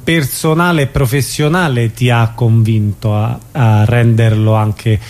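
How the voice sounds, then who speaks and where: A man's voice: mid-range voice, steady tone, measured pace, darkish tone. A man hosting an informal radio interview, asking questions in an attentive, slightly hesitant way.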